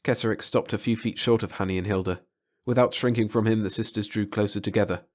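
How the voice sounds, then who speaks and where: A man's voice: fairly low pitch, fairly even intonation, quick pace, a little mellow. A man reading a story aloud as an audiobook narrator, in a dramatic scripted style.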